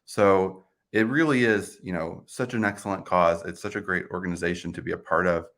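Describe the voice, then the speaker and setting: A man's voice: sits low, fairly even intonation, a little fast, a bit bright. A man in his thirties, a company finance chief, speaking earnestly as a guest on a video call interview. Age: 30s.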